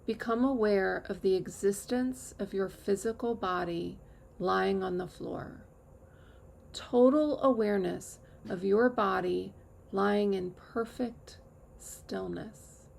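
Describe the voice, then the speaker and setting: Slow, very creaky-voiced woman guiding meditation. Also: mid-range voice, slight background noise. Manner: calm, measured instruction with long pauses. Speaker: yoga nidra teacher. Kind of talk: guided meditation.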